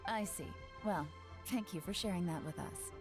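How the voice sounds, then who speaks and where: A woman playing a composed, shrewd game character who speaks with measured, formal politeness. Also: fairly low pitch, close to an everyday voice. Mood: composed, courteous. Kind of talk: video game character dialogue. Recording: game music behind the voice.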